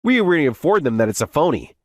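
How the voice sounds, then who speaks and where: A man's voice: a little high, very animated, racing, no room sound, clean studio sound. A man playing an earnest young office worker who narrates and voices his story in a manga dub. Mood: confident, firm. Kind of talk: scripted first-person manga recap narration and dialogue.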